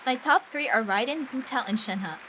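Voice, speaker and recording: A woman hosting a casual game livestream, chatting in a giggly, excitable, gushing way with plenty of swearing. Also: on the high side, moderate pace, a little hiss or hum, rough recording. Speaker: livestream host.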